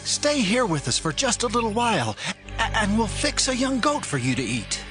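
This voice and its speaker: A man's voice: sits high, sing-song, moderate pace, music in the background, voice pushed up. A man voicing Manoah, an earnest, anxious, devout father, in a dramatized Bible reading.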